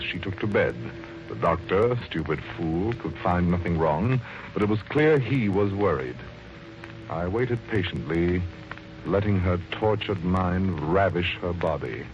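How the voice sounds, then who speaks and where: A cold-blooded wife-murderer who narrates his crime in a calm, chilling, very deep voice. Music plays clearly beneath him on a muffled vintage radio recording. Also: moderate pace. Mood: gloating. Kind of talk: 1940s radio acting.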